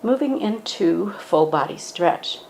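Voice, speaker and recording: A woman gently guiding a standing yoga meditation, speaking in a calm, instructive way. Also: on the low side, slow pace, gravelly, slight background noise.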